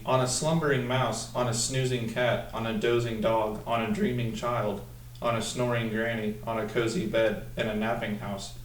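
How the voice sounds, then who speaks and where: Young guy reading a picture book out loud like a student teacher, deliberate, medium pitch, roomy and kinda far from mic. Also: a little hiss or hum. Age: young adult. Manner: deliberate, instructional, drawn-out when reading the text. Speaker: student teacher practicing a read-aloud. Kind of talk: picture-book read-aloud with questions and comments to young listeners. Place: small room at a table, indoors. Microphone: camera mic a few feet away. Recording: roomy echo, distant microphone.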